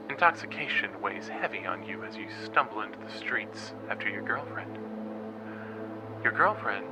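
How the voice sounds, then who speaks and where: A man playing an eager college student and true crime podcaster, telling stories in a dramatic, playful way in an audio drama. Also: on the low side. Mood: solemn storytelling. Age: young adult. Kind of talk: audio drama acting, storytelling.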